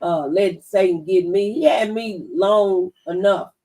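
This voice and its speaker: A woman's voice: medium pitch, measured pace, dark tone, distant mic. A woman hosting a radio talk show, speaking emphatically as if giving testimony. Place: radio studio.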